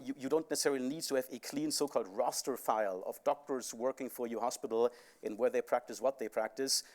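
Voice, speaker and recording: A man with a European accent, speaking as a panelist on a conference stage, explaining at length with frequent fillers. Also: mid-range voice. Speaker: panelist, insurance company CEO.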